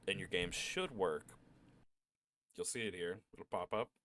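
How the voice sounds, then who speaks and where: A man giving a casual step-by-step software tutorial, sick with a cough. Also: deep voice, measured pace, slight background noise. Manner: relaxed, chatty, step-by-step instructions. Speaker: tutorial maker. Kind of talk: casual screen-recorded tech tutorial.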